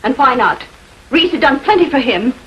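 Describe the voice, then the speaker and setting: An actress playing a defensive, haughty estranged wife in a 1940s filmed crime drama, fiercely protective and quick to take offence. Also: female voice, high-pitched, animated, fairly bright tone. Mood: indignant. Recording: noisy old 1940s film soundtrack.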